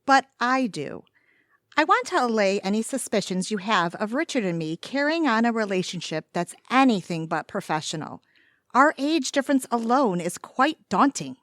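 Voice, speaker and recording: An earnest, upbeat young woman of the bookish kind played by a woman in an audio comedy. Her voice is fairly high and animated, with precise, polite phrasing that is innocently blunt. The sound is dry and close. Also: moderate pace, no room sound. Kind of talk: comedy audio drama acting.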